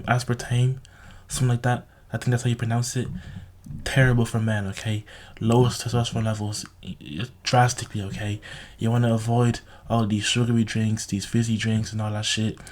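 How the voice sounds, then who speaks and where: A man with a slightly raspy, sore-throated voice giving casual, unscripted advice to viewers, bluntly, with heavy swearing, repetition and frequent "okay?" tags. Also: on the low side. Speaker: self-improvement video host.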